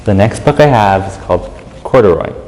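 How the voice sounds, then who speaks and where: Male storytime reader: low pitch, expressive picture-book narration, reverberant space with music. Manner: expressive, pausing, commenting on the pictures. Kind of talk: read-aloud children's picture book narration with casual asides.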